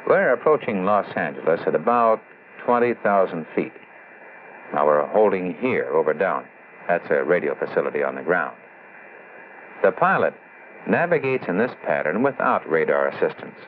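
Low-voiced controller, old film soundtrack. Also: male voice. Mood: patient, explanatory. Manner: explanatory, matter-of-fact. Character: seasoned male air traffic controller explaining his job. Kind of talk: scripted 1960s educational film dialogue. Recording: muffled old film soundtrack, faint hiss.

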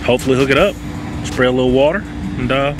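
A man's voice: mid-range voice, fast talker. Cheerful male channel host, casual unboxing talk recorded outdoors on a handheld camera, music audible behind. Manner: upbeat and conversational, narrating each step. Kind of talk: casual product unboxing and review. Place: outdoors in a yard or driveway.

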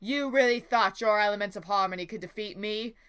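A woman voicing an evil, imperious cartoon dark alicorn villain in a grand, theatrical way. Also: mid-range voice, brisk, smooth, close to an everyday voice. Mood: menacing, triumphant.

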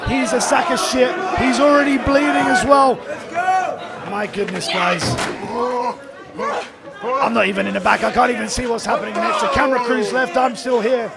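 A hungover man with a raspy voice, doing excitable, crude play-by-play commentary in a pub. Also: extremely high pitch, normal speed, pauses often. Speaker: wrestling commentator. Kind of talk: shouted ringside commentary. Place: crowded pub and street. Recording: loud crowd and noise.

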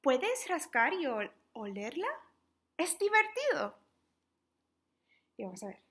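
A young adult woman reading a children's book aloud interactively, in an animated, playful, questioning manner. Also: high voice, slow pace. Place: small living room at home, indoors.

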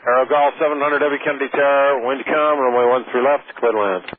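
A man's voice: medium pitch, fairly even intonation, quick pace. A man working as an air traffic controller, giving clipped procedural instructions over a radio. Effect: radio transmission. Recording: narrowband radio audio with faint static.

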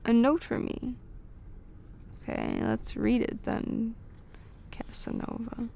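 A woman's voice: on the high side, steady tone, slow pace, dark tone, a little hiss or hum. A woman playing a playful, affectionate girlfriend in an intimate ASMR roleplay, giggly and slipping into whispers. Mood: amused, teasing. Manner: breathy giggles, dropping into whispers.

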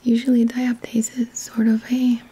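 A woman in her thirties whispers gently and soothingly in a halting, breathy voice, hosting an ASMR guided healing session up close to the mic. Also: a little high, completely flat delivery, low-quality recording. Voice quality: breathy whisper, mostly unvoiced. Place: small quiet indoor room. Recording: faint background noise.

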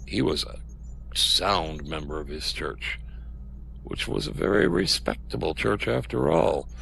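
An actor playing a menacing, paternal middle-aged security official in an audio drama, preaching with condescending, sermon-like cadences. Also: male voice, deep voice, creaky, a bit bright, a little hiss or hum, full character voice.

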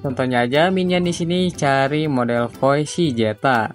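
A man from Indonesia, giving a casual, informal step-by-step software tutorial. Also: mid-range voice, normal speed. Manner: informal, repetitive step-by-step instructing. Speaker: tutorial host. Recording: music behind the voice. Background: background music.

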